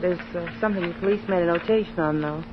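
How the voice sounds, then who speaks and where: An actress playing an efficient, deferential secretary in a 1950s radio crime drama, speaking in a businesslike way. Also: female voice, lowish voice, fast talker, close to an everyday voice. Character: efficient, deferential female office secretary to district attorney. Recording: noisy, muffled 1950s radio recording.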